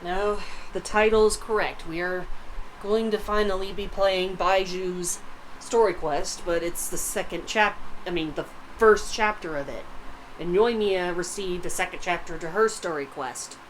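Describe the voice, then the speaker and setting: A woman's voice: lowish voice, measured pace, slight background noise, poor audio. A woman livestreaming a video game with casual, profane commentary, often muttering to herself.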